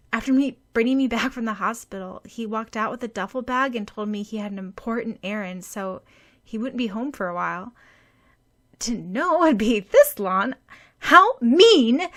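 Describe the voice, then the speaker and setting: A woman doing a shrill, haughty teenage girl. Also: normal speed, a bit bright. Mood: explaining. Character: bossy hot-tempered teenage girl with a broken leg.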